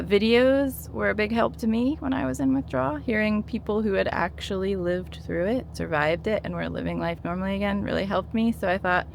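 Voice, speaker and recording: A woman's voice: medium pitch, gravelly, slight background noise. A woman in her thirties talking casually to camera, earnest and encouraging, with many fillers and restarts. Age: 30s. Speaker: vlogger sharing her withdrawal experience.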